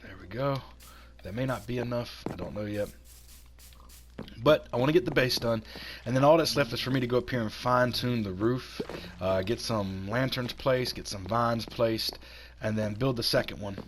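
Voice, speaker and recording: A man with a cold, hoarse and a little raspy, chatting casually while he builds in a video game and talks himself through each step. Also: mid-range voice, normal speed. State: hoarse from sinus infection. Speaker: gaming channel host.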